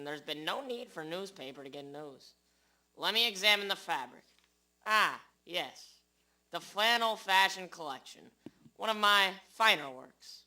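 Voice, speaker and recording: Genial small-shop tailor male actor, higher and very sharp voice, hobby radio drama, rough sound. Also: exaggerated voice. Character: genial male shopkeeper tailor, detective's old friend. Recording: rough amateur recording.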